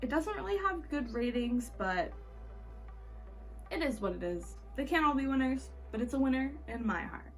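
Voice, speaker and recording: A woman's voice: high voice, lively intonation, normal speed, faint music behind. A woman talking casually and conversationally as a book vlogger, giving informal reviews with wry asides.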